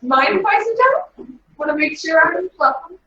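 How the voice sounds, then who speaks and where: A woman player speaking as her character in a tabletop game, playful and animated. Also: extremely high pitch, moderate pace. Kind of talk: casual in-character play. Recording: far-off echoey dull mic.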